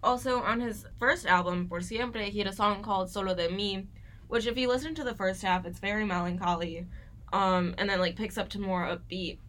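A woman's voice: medium pitch, fairly quick, a bit bright. A young woman co-hosting a casual discussion, explaining earnestly with a giggly edge. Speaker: podcast co-host.

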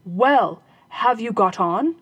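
The robbers speak up loud and blunt, pressing their complaint, as a woman reader voices them. The voice stays near her own, slightly high and husky, recorded dry and close. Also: lively intonation, slow pace, no room sound, full character voice. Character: band of gruff robbers, grown men.

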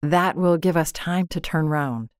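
A woman's voice: sits low, measured pace, clean tone, no echo, studio quality. A woman voicing a worried, practical husband, urgent and questioning, in a dramatised storybook reading. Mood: resolved. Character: worried, practical husband, a tired government clerk.

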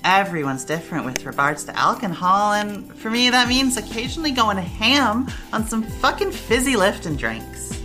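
A woman's voice: mid-range voice, sing-song, moderate pace, few pauses, natural voice. An actress playing a breezy, supportive, blunt young woman who talks in casual slang in an audio drama, with music behind her. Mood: reassuring, breezy. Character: Roxy, breezy supportive party-loving young woman, recovering alcoholic. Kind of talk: fandub audio drama dialogue.

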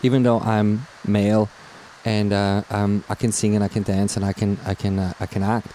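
A middle-aged man, a native German speaker and musical theatre performer, chatting casually on a podcast, halting with restarts and dry humor. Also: lowish voice, level tone, dark tone, slight background noise, low-quality recording.

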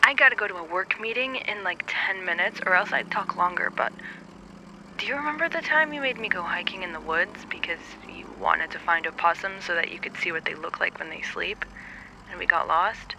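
A man's voice: high-pitched, a little fast, creaky, sharp tone, a little hiss or hum, full character voice, rough recording. A man playing a timid, reassuring close friend in an audio drama phone conversation, with a casual, encouraging manner. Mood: casual, reminiscing.

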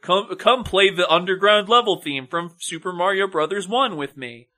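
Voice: medium pitch, expressive, moderate pace, pushed and bright. A podcast co-host giving casual film commentary in a wry, sarcastic and opinionated way.

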